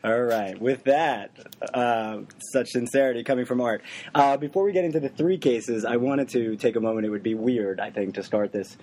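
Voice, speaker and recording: A man hosting a legal podcast in a quick, joking way, his voice a little worn from losing it. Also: mid-range voice, darkish tone, slight background noise. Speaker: podcast host. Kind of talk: casual podcast banter.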